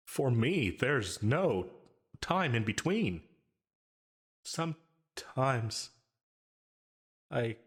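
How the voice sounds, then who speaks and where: A man playing a lonely, possessive sleep paralysis demon in an intimate ASMR roleplay, hesitant and stammering, with a breathy, husky voice. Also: medium pitch. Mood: brooding, wistful. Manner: halting, hesitant, stammering, tentative.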